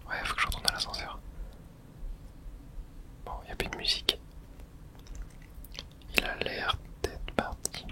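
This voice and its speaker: A person whispering casual, hushed ASMR commentary while playing a video game, breathy and thinking aloud. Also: unhurried, pauses often.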